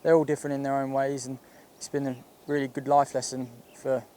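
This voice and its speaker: A man talks in a flat, even voice, a golfer reflecting in an interview. He stumbles over some of his words. Faint noise sits behind him. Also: medium pitch, fairly quick. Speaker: professional golfer.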